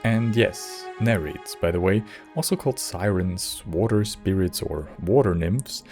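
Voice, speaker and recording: Low-voiced man narrating a lore video. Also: darkish tone. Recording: music bed under narration. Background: background music.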